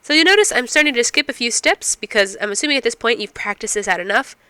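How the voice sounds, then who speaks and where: A woman's voice: on the high side, lively intonation, fast talker, bright, forceful tone, clear, resonant tone, no echo. A woman instructor explaining math step by step, asking and answering her own questions, while getting over a cold. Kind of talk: recorded math lecture.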